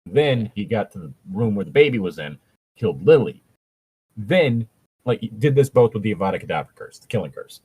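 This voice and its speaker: A man co-hosting a casual comedic fan fiction reading, joking crudely and swearing in an animated way. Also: fairly low pitch, brisk, breathy edge, low-quality recording.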